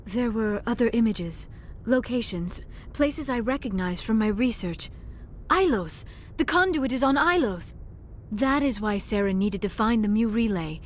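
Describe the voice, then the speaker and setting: A woman's voice: fairly high pitch, expressive, a little slow, slight background noise. A woman playing an earnest, polite alien scientist in a video game, speaking in careful phrases. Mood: awed. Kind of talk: dramatic video game voice acting. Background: music.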